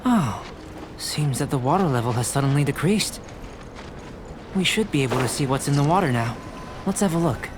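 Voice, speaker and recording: A man playing a calm, curious young alchemist in a video game, measured and formal, with music behind him. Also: a little high, noisy background, natural voice. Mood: intrigued. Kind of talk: video game character acting.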